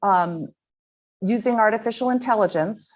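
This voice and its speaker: A woman giving a slide presentation to a school audience, earnest and halting, with frequent ums and restarts. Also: medium pitch. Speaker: guest speaker presenting slides.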